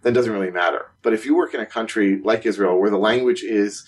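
A man co-hosting a podcast in casual conversation, animated and quick to laugh, his voice climbing when something surprises him. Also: breathy edge. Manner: animated, rising pitch on surprising points, laughs while talking. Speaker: podcast co-host, consultant.

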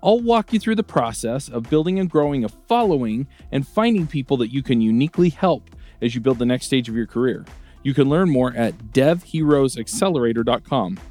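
A man reading a scripted ad in an upbeat, lively way. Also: brisk. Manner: upbeat pitch, lively delivery. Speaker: advertiser. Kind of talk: scripted ad read. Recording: light music underneath.

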